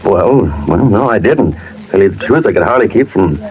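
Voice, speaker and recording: An actor playing a scared, earnest young newsboy witness who hesitates and stammers, in a 1950s radio crime drama. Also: male voice, lowish voice, animated, brisk, a little hiss or hum, natural voice. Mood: nervous. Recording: muffled old radio broadcast.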